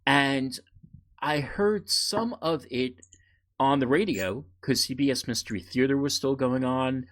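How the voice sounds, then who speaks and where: A man's voice: mid-range voice, unhurried, fairly bright tone. An American man in his fifties from New York City, talking casually as a podcast co-host. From New York City, United States. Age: 50s. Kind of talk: casual chat about old-time radio.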